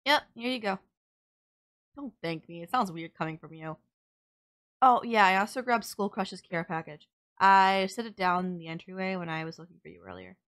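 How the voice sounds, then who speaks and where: A woman's voice: mid-range voice, normal speed, fairly bright tone, close to an everyday voice. A woman playing a sardonic yet caring female villain in an audio roleplay, blunt, teasing and exasperated. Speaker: voice actor. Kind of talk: audio roleplay drama, intimate one-sided dialogue.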